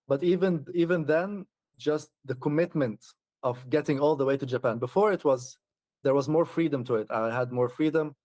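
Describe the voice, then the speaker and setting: A man's voice: on the high side, moderate pace, darkish tone, no echo. A man chatting casually as an interview guest, often laughing and restarting his sentences. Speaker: traveler guest.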